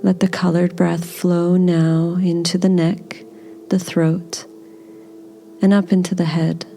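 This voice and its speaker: A woman leading a guided body scan meditation in a gentle, soothing, unhurried manner. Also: lowish voice, level tone, creaky, faint music behind.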